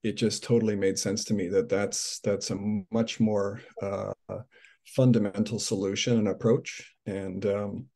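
Low mellow man, hesitant speech. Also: fairly even intonation, measured pace. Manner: hesitant, many fillers and restarts. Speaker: supporter of a youth charity. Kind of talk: video call introduction. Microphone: webcam on video call.

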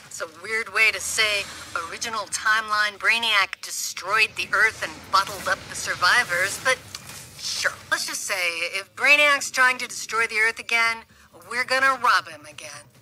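An actress playing a brisk, clever superhero tech expert in a video game, matter-of-fact and slightly wry. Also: female voice, medium pitch, bright, forceful tone, slight background noise, poor audio. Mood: wry, analytical. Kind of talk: video game character acting.

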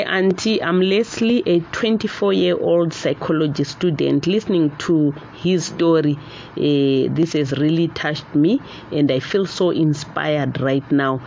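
A woman's voice: on the low side, a little mellow. A middle-aged woman with a Zimbabwean accent hosts a radio talk show. She moves between Shona and English in a live call-in style. The studio sound is a little dull, with faint noise underneath. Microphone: studio microphone on boom arm.

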